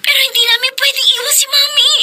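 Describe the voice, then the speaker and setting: A woman's voice: very high voice, level tone, fast, heavy background noise. An actress with a Filipino accent playing an anxious, loyal young woman in a horror radio drama.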